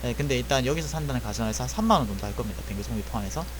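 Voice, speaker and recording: A man's voice: medium pitch, lively intonation, racing, noisy background. A young man casually explaining a repair job, thinking aloud and correcting himself as he goes. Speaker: airsoft gun technician. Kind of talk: casual spoken tutorial.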